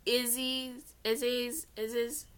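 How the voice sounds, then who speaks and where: Bright-voiced woman reading stories, stumbling along. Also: fairly high pitch, steady tone, takes it slowly, smooth. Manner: stumbling over words, self-correcting, giggly. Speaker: amateur storyteller reading fan fiction aloud. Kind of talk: read-along narration with character voices.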